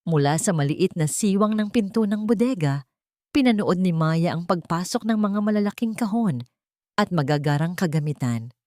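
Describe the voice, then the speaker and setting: Low-pitched woman narrating a dramatic story. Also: a little mellow, no room sound, studio quality.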